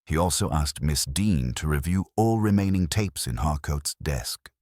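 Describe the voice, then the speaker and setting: A man narrating a scripted mystery story in a measured, literary manner. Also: very deep voice, animated, a little mellow, no room sound, studio quality.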